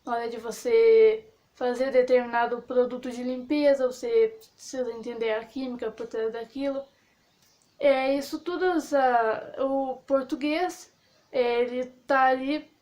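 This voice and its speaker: Young woman, high voice, casual vlog monologue, chatty, lots of fillers and restarts. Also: fairly even intonation, unhurried, a little mellow. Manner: rambling with many fillers and restarts. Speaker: vlogger.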